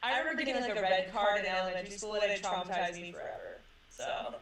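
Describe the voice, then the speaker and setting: A woman in her twenties from San Diego chats as a podcast guest. Her voice is loud and casual, full of "like" and easy laughs. She sits back from the mic in an echoey room, with a crowd audible behind her. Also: medium pitch, fast talker, recorded from a distance. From San Diego, California. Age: 20s. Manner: chatty, says like a lot, laughs easily. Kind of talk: casual interview chat.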